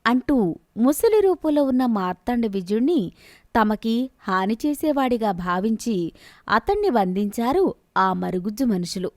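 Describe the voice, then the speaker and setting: Bright-voiced woman telling a bedtime story. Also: fairly high pitch, no echo, studio quality. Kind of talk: scripted bedtime story narration.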